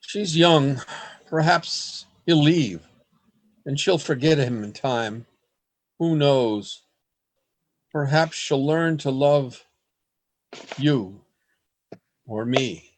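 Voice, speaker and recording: An actor in a radio play playing an earnest, desperate man in love, with a husky voice and a dramatic, pleading delivery. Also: medium pitch, extremely slow, long pauses. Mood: anxious. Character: anguished lovesick man, earnest, desperate, hiding among others.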